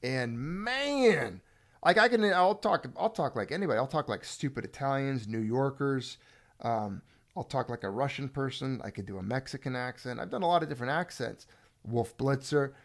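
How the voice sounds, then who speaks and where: A man's voice: medium pitch, expressive, moderate pace. A middle-aged man hosting a casual home chat, rambling and joking. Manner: chatty, rambling, jokey. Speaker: channel host.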